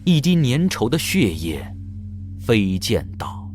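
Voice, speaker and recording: A man's voice: mid-range voice, huge pitch swings, slow, a little mellow. A man narrating an audio drama in a scripted, dramatic storytelling manner.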